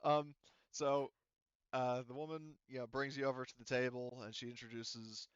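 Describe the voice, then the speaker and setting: A man's voice: medium pitch, little pitch movement, moderate pace, clean tone, no room sound, dull audio. A man casually narrating a tabletop roleplaying game as game master, rambling and thinking aloud as he improvises.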